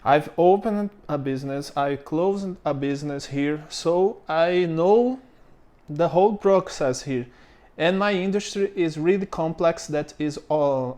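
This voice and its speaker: A Brazilian man with a fairly high voice talks through business steps in English, in no hurry. He has a clear Brazilian Portuguese accent, searches for words, and keeps checking in with "okay?". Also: darkish tone. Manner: halting, frequent fillers and checks of "okay?". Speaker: former business owner explaining steps. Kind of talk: informal explainer to camera.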